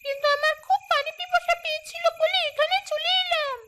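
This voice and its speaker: A woman's voice: very high voice, steady tone, brisk, noisy background. A woman voicing a snake disguised as a thirsty, innocent little girl in a cartoon. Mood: innocent pleading. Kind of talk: dubbed cartoon dialogue.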